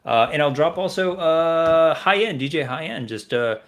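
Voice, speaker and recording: A man's voice: a little high, expressive, normal speed. A man hosting an online music radio show, upbeat and hyping up his co-hosts. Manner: upbeat, hype. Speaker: radio host. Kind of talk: casual radio chat.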